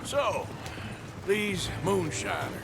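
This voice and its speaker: A wry gunslinger in his thirties from a western game. His American-accented voice is slightly high for a man and unhurried, dry in manner, with clear outdoor noise around it. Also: lively intonation, full character voice. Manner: dry, sardonic, laconic. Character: wry, laconic outlaw gunman in his thirties. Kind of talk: western video game acting.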